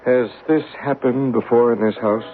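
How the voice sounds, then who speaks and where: A man playing a calm, probing ghost investigator. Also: medium pitch, moderate pace, close to an everyday voice. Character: calm male parasensory investigator, adult. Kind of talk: 1970s radio drama acting. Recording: thin phone-like old radio broadcast with faint hiss.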